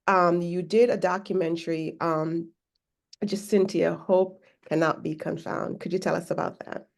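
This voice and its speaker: A woman's voice: lowish voice, steady tone, measured pace, vocal fry. A woman hosting a podcast interview, encouraging and speaking of God's grace. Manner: warm and encouraging, religious phrasing. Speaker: podcast host.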